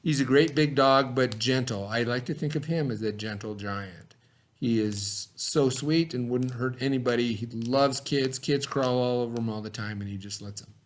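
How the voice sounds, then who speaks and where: A man reading aloud and talking to children in simple, expressive phrasing, like a teacher. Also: medium pitch, normal speed. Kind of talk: children's story read-aloud, then informal talk to children.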